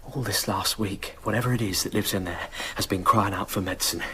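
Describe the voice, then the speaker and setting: An actor playing a frightened, loyal servant in a radio drama, speaking with deferential, hushed urgency. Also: male voice, lowish voice, brisk, a little hiss or hum. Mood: anxious, indignant.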